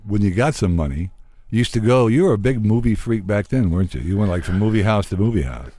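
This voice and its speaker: A man's voice: lowish voice, lively intonation, fast, dark tone. A man hosting a radio show, talking casually with a guest in an interview.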